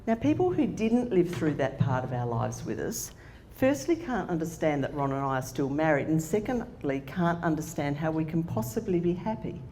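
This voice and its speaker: Woman in her sixties, plain-spoken speaker, low mellow voice, echoey hall. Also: big pitch swings, normal speed. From Australia. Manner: plain-spoken, candid storyteller. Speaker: conference speaker. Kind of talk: personal story talk to an audience. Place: conference room. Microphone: handheld microphone. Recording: echoey hall with faint noise.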